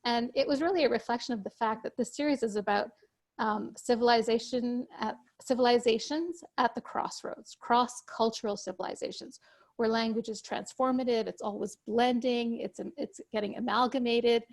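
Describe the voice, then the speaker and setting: A woman whose first language is Urdu speaks in a somewhat high voice with a gravelly, fry-laden edge. She explains things in a measured, thoughtful way, now and then restarting a phrase as she goes. It sounds like a video call. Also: fairly even intonation. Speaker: guest author.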